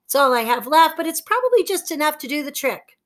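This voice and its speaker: A woman's voice: high-pitched, very animated, brisk, pitched above the performer's own voice. A woman voicing a tiny, cheerful female fairy who talks sweetly and eagerly. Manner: bright, gleeful and sweet.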